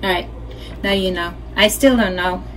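A woman's voice: medium pitch, moderate pace. A middle-aged woman from Norway with a Norwegian accent, giving a casual craft tutorial and thinking aloud as she demonstrates. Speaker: puppeteer teaching a craft workshop. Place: small home studio, indoors. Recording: clearly noisy home studio recording.

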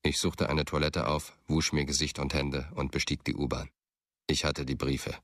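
A man's voice: extremely low pitch, little pitch movement, moderate pace, fairly bright tone, dry recording, studio quality, exaggerated voice. An actor playing a brooding, obsessive man, giving a grim, controlled, intimate inner monologue in a radio drama. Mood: grim, determined. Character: brooding, obsessive man narrating his dark thoughts.

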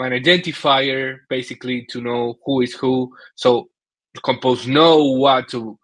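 A man with a Dominican Spanish accent presents technical material on a video call. His voice is bright and forward at a medium pitch. He speaks haltingly, restarting and repeating words as he explains. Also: rough recording. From Dominican Republic. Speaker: conference speaker, software developer. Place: small indoor room at home, video call.

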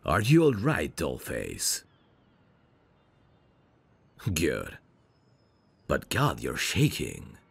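A man playing a protective, self-assured, flirtatious mafia heir in an ASMR roleplay, commanding and smooth-talking. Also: extremely low pitch, wildly swooping pitch, takes it slowly, exaggerated voice. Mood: tender, flirtatious.

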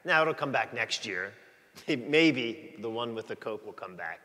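Chatty priest in a reverberant church. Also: male voice, medium pitch, lively intonation, a little slow, pushed and bright. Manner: chatty, joking with the congregation. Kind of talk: conversational sermon. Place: large church, walking the aisle before the altar.

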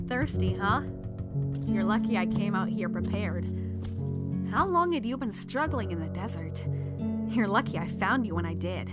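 A woman's voice: medium pitch, lively intonation, normal speed, long pauses, loud music behind the voice, lightly acted. A woman playing a brash, sassy young desert medic in an audio drama, blunt and taunting. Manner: blunt, taunting, self-assured.

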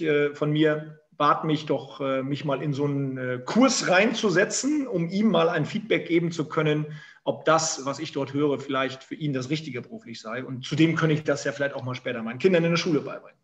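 A man's voice: on the high side, a little fast, lo-fi sound. A man in his forties from northern Germany with a northern German accent, telling his career story as a guest with many fillers. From Emsland, northern Germany. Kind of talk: storytelling interview answers. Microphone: remote call.

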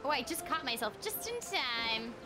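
A young woman chatting casually as she streams a game, giggly and quick to exclaim, on a close microphone indoors. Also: sits high, very animated. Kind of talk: casual chat over live gameplay. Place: indoor room at home. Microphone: close desk microphone with webcam. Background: game music.

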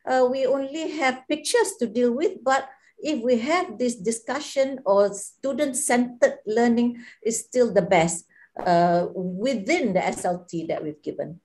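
An older woman with a Malaysian accent, a professor of oral pathology, explaining teaching methods in a measured way on a video call. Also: on the high side, a little mellow. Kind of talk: panel discussion on a video call. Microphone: video call from a laptop.

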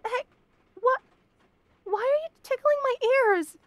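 A woman's voice: very high voice, slow, no room sound, highs cut off. A woman voicing a shy young bunny girl roommate in a romantic comedy audio roleplay, stammering and protesting in a flustered way. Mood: flustered, indignant.

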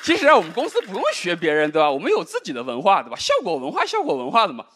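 A man in his thirties performing a deadpan stand-up comedy set on a large studio stage, speaking into a handheld microphone. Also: high voice, very fast, a crowd in the background, background noise. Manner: dry, deadpan delivery with rhetorical asides. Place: large indoor studio stage with live audience. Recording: live audience laughter.

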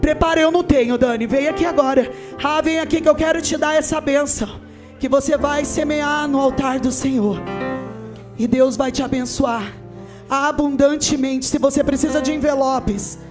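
A woman, giving an emphatic, often shouting testimony and offering appeal on a handheld mic in a large church hall. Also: sits high, fairly even intonation, moderate pace, music in the background. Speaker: preacher and author. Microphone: handheld microphone.

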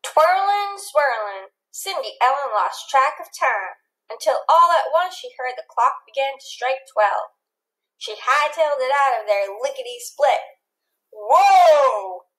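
A woman narrating a children's storybook in an expressive read-aloud style. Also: high voice, a little slow, rough recording.

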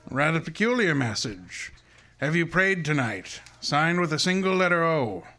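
An actor playing a pompous, dryly witty great detective in an old-time radio style drama, speaking formally and with measured care. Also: male voice, a little high, big pitch swings, bright, forceful tone, faint music behind. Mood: wry, thoughtful.

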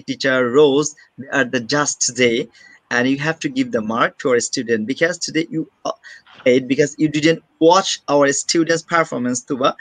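A man's voice: medium pitch, a bit bright, dry recording, low-quality recording. A young man hosting a live video call for an English school, earnest and repetitive, with nonnative English phrasing. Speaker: host of an online school show.

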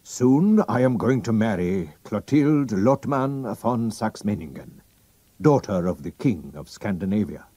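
A man's voice: on the low side, expressive, a little slow, mellow, no room sound, pitched above the performer's own voice. A man voicing a proud, anxious king who speaks formally and commandingly. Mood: troubled. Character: German-accented royal visitor, proud king, anxious.

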